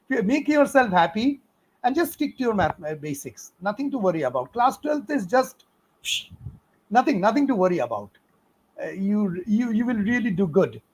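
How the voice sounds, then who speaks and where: A man's voice: high voice, poor audio. A middle-aged man with an Indian accent, answering students' questions on a live stream in a chatty, encouraging way, with many fillers and laughs. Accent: Indian English. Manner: encouraging, fatherly advice to students. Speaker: physics teacher on a live stream.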